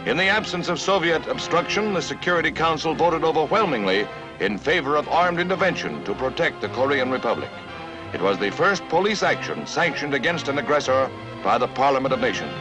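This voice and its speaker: A man's voice: fairly high pitch, very animated, brisk. An American man narrates a 1950s newsreel in a dramatic, emphatic and formal announcer style, on a vintage soundtrack. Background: background music.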